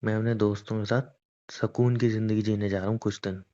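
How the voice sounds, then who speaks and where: A man's voice: lowish voice, little pitch movement, a little fast, warm tone, close to an everyday voice. A man playing a stubborn, teasing young boyfriend in a romantic phone-call roleplay, argumentative and coaxing in casual conversation. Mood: defensive. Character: stubborn, teasing young boyfriend defending his boys' trip. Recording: dull lo-fi phone call recording.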